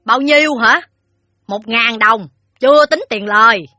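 A woman's voice: sits high, sing-song, fairly quick, bright, forceful tone, dry recording, clean studio sound, faint music behind, heavily acted. A woman playing a middle-aged, sour, bullying loan shark in an audio story, sneering and scolding with a Southern Vietnamese accent. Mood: shrewish, indignant. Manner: sneering, shrewish, haughty scolding. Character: fat, shrill, sour loan-shark madam, crude and bullying. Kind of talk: audio story drama dialogue.

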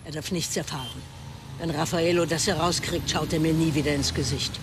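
A woman's voice: low voice, fairly even intonation, a little fast, music in the background. An actress playing a desperate older mother of a jailed criminal, pleading and insistent in a radio drama. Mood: urgent bargaining. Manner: urgent, insistent bargaining.

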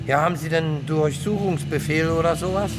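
Actor as an evasive, stammering neighbour. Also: male voice, a little high, normal speed, natural voice. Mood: flustered, defensive. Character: evasive, slow-witted male neighbour, flustered and defensive. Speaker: actor in a radio drama. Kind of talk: German radio drama acting, naturalistic dialogue. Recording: faint music behind the dialogue.

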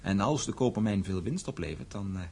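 An actor in a radio play as an eager, dreamy young gentleman, a dutiful and enthusiastic son. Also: lowish voice, expressive, fairly quick, slightly rough. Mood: wistful.

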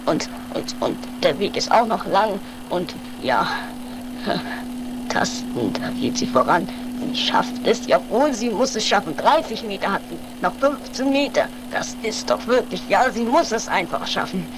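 A woman's voice: mid-range voice, sing-song, a little slow. A woman playing a nervous, devoted companion of a daredevil in an improvised comic radio play, muttering dramatically and repeating words. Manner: dramatic, repetitive, agitated muttering. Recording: noisy home-made 1980s radio play recording.

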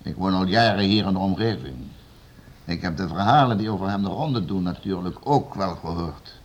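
A man's voice: sits low, normal speed, slightly rough, highs cut off. An actor playing a commanding, scornful nobleman in a radio play. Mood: self-assured. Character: stern, domineering male nobleman, bullying and sure of himself.

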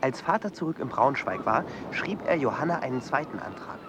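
An actor doing a young man recounting his father's story with a lively, shifting pitch. Clear outdoor street ambience is heard behind him. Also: fairly quick, noisy background, lightly acted. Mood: storytelling. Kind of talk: radio play acting.

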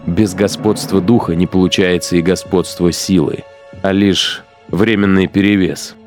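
A cold, sardonic Nazi commander thinks aloud in a radio play. His voice sits low, and he weighs his phrases with deliberate contempt. Music plays faintly behind him. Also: fairly even intonation, a little mellow. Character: cynical, cold-blooded male Nazi punitive unit commander, musing contemptuously. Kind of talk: dramatized inner monologue in radio play.

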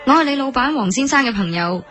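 A woman's voice: sits high, lively intonation, quick pace, fairly bright tone. Radio drama lead: proud, lovesick young café woman, Hong Kong Cantonese, earnest and easily indignant, over music. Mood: pointed. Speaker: lead actress and narrator. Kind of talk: radio drama acting with narrated inner monologue. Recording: studio drama with music underneath.

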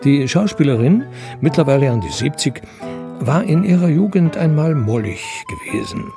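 A man's voice: on the high side, wildly swooping pitch, moderate pace. A man playing the wry narrator of a radio crime drama, reading scripted narration. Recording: music behind the voice.

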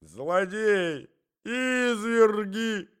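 A hysterical society lady, put on by a male reader in a storybook narration. The voice is pushed very high and swoops dramatically, wailing in theatrical horror. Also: lively intonation, drawn-out words, smooth, full character voice. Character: hysterical, melodramatic lady wailing over her lapdog.

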